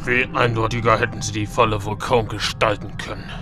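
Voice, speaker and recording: An actor playing an older, stern and sceptical space admiral in an audio drama. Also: male voice, lowish voice, level tone, fairly quick, music in the background, natural voice.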